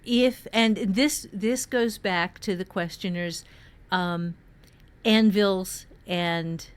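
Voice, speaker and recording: A woman's voice: medium pitch, takes it slowly, clean tone. Woman explaining things with playful emphasis. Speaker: writing podcast host and teacher. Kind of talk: casual advice talk on fiction writing.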